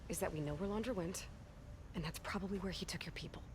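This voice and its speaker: An actress playing an earnest, determined young female adventurer in a video game cutscene, speaking in a measured, understated, sincere way. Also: fairly low pitch, noisy background, lightly acted. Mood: focused.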